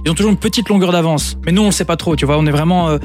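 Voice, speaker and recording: A man hosting a radio interview, brisk and eager as he leads the conversation. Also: fairly high pitch, faint music behind. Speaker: radio host.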